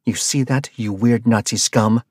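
A man voicing a hot-tempered, foul-mouthed woman mercenary in a read-aloud story, yelling in a raised pitch. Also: sing-song, fairly quick, no room sound, studio quality. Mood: mocking. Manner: yelling insults, brash and loud.

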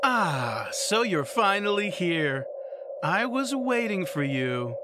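A woman's voice: on the low side, big pitch swings, slow pace, background noise. Woman acting a swooping, smug, taunting villain. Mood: smug, menacing. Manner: theatrical, mocking. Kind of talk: dramatic audio drama acting.

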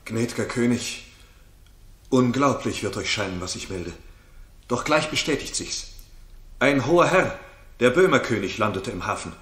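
An actor playing a formal, urgent royal messenger in 1960s German radio theatre style, in a roomy studio recording. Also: male voice, medium pitch, expressive, moderate pace, long pauses, fairly bright tone, natural voice.

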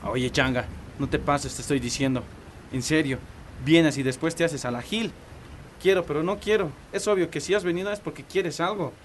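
A man's voice: on the high side, normal speed, close to an everyday voice. An actor with a Bolivian accent playing a pushy, teasing teenage boy in a radio drama. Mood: taunting, pushy. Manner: taunting, insistent. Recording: noisy outdoor street ambience.

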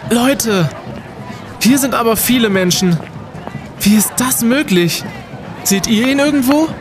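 Guy acting high voiced know-it-all student detective, indignant and emphatic, radio play, faint music. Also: unhurried, crowd noise. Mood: determined. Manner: pedantic, emphatic. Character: indignant, pedantic young male student amateur detective.

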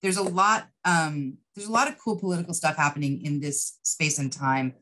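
A woman's voice: low voice, normal speed, fairly bright tone, rough recording. A middle-aged woman, an interview guest, explaining her writing in a thoughtful conversational way on a video call. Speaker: interview guest, writer and producer. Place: indoors, book-lined room, video call.

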